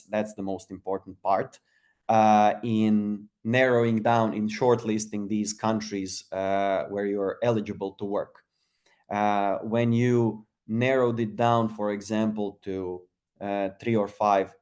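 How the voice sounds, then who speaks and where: A man from Croatia gives a casual webinar talk, pausing often with fillers and restarts. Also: lowish voice, darkish tone. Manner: halting, many fillers and restarts. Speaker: webinar speaker.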